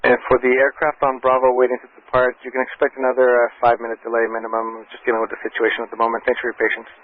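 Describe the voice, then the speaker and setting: A man working as an air traffic controller, giving calm, reassuring step-by-step instructions over aviation radio. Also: mid-range voice, very fast. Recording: muffled, band-limited aviation radio transmission.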